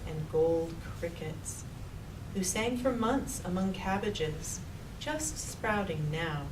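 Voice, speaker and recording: A woman reading a poem to an audience in measured short phrases with pauses between them. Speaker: poet reading a colleague's poem. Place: public library reading room. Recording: distant mic, noisy with rumble.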